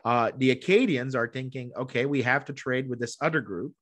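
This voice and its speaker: A man's voice: medium pitch, brisk, a bit bright. A man in his thirties, a guest scholar explaining things emphatically in interview talk at a close desk microphone. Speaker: podcast guest, economic history scholar. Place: small room at home, indoors. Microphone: desk microphone close up, video call.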